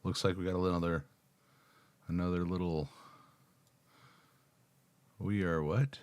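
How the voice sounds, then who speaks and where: A man's voice: deep voice, steady tone, measured pace, dry recording. A middle-aged American man reading text aloud and adding casual asides as he hosts a live reaction. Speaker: reaction video host. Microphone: close microphone on a boom arm, headphones on.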